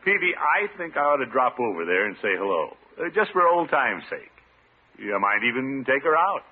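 An actor playing a pompous, blustery middle-aged American water commissioner in a classic radio comedy, with a theatrical manner. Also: male voice, medium pitch, big pitch swings, moderate pace, slightly rough. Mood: boastful. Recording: tinny, noisy old radio recording.

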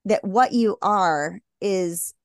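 A woman co-hosting a faith podcast in casual chat, talking in quick bursts and acting out quoted people. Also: mid-range voice, no echo, studio quality. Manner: chatty, fast bursts, acts out quoted people. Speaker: podcast co-host.

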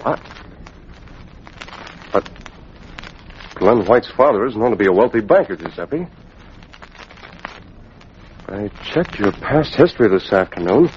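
Earnest gentleman, very animated delivery. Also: fairly low pitch, unhurried, pauses often. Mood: serious, cautious. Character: earnest, principled gentleman of means, cautious and law-abiding. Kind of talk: 1940s radio acting. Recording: dull, noisy old radio recording.

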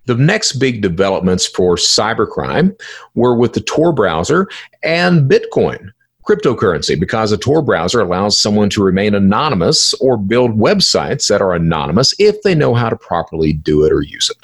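Guy doing a solo podcast explainer, kinda raspy, really up and down in pitch, hammers his points by repeating them. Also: sing-song, normal speed. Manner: emphatic, repeats phrases and poses questions to himself. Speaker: podcast host explaining cybercrime.

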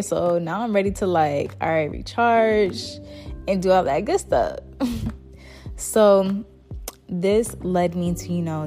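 A soft-spoken young woman hosting her podcast, chatting casually and rambling with lots of "like" fillers. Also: lowish voice. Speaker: podcast host. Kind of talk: casual solo chat.

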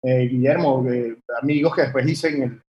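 A man's voice: medium pitch, steady tone, brisk, highs cut off. A man born in Venezuela, a brewer telling his story in a conversational interview, rambling with many fillers and restarts. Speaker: guest brewer. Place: warm room with fans running. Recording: echoey room, faint background hum.

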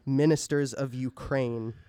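A man co-hosting a casual podcast, with a middle-pitched voice and a low-key, hesitant delivery. The recording is dry and close. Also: fairly even intonation, unhurried, warm tone, no echo. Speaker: podcast co-host. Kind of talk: casual chat.

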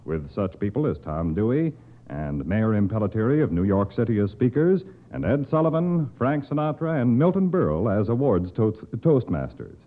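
A man's voice: lowish voice, very animated, moderate pace, narrow, tinny audio. A man making a formal announcement as a 1950s radio announcer, on an old broadcast recording.

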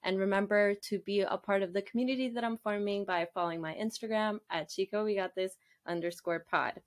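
A woman's voice: mid-range voice, fairly even intonation, fairly quick. A woman hosts a casual podcast with an upbeat, welcoming manner. She greets her guest like a friend and drops Spanish phrases into her chat. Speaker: podcast host.

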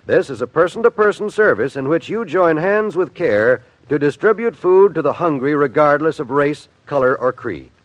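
Animated vintage male radio announcer. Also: on the high side, moderate pace, few pauses, no echo. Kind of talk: scripted 1950s radio public service appeal. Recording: dry, dull vintage radio recording.

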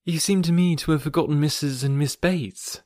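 Here is a man reading as a quick, tactful young woman from a classic novel, lightly teasing. The delivery stays close to his own voice, with a dry sound. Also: on the high side, a little mellow, no echo, voice pushed up, lightly acted. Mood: brisk teasing.

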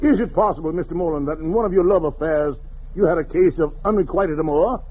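A man's voice: fairly high pitch, fast talker, slightly rough. A man playing a pompous, formal psychiatrist in a 1940s radio comedy, fond of long words and easily exasperated. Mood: probing, formal. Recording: muffled vintage radio recording, noisy audience.

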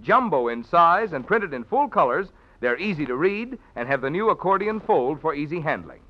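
An American male announcer with a fairly high, very lively voice delivers an old-time radio advertisement. He sounds polished and enthusiastic on a dull vintage broadcast recording. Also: moderate pace. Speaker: radio announcer and sponsor pitchman. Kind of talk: 1940s radio advertising read.

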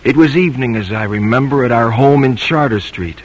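An actor playing an earnest, protective grown son in an old-time radio drama, speaking formally. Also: male voice, medium pitch, fairly quick, muffled recording, lightly acted. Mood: reflective. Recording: vintage radio recording.

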